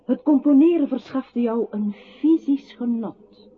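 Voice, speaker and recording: An actress in a radio play voicing a solemn, poetic narrator with a measured, literary delivery. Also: female voice, sits high. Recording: thin phone-like radio sound, faint noise.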